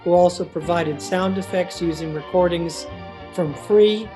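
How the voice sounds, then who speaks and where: A man's voice: high-pitched, a little slow. A male announcer reading scripted closing credits for a radio comedy. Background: guitar music.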